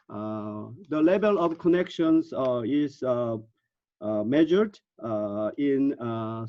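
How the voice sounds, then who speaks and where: A man giving an academic conference presentation over a video call, halting often with filler sounds. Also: on the high side, mellow, no room sound, dull audio.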